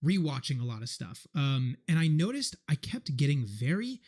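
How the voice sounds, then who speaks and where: A man's voice: on the high side, sing-song, normal speed, a little mellow. A man hosting a podcast, often hesitating and repeating words as he talks. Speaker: podcast host. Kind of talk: podcast conversation.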